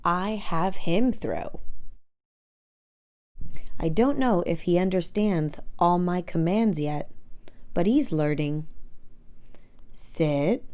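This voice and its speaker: A woman voicing a proud, independent dog in a children's storybook, with expressive, deliberate, self-assured telling. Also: fairly low pitch.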